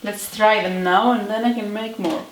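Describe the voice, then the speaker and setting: A woman from Greece with a Greek accent, chatting casually as she cooks at home, often pausing and searching for words. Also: mid-range voice, fairly even intonation, a little hiss or hum, low-quality recording. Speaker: home cook. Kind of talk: casual cooking video.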